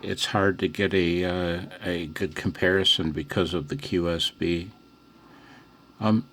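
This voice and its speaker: A man's voice: deep voice, fairly bright tone, slight background noise. A man giving a casual, unscripted hands-on commentary as a radio hobbyist demonstrating gear indoors at home.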